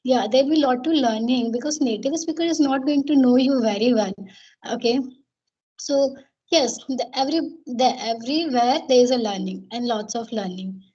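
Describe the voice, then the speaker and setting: A woman practicing spoken English as a guest on a video call, nervous and hesitant, full of pauses and fillers. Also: a little high, warm tone, slightly rough, dull audio. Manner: hesitant, many fillers and restarts. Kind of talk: conversation practice.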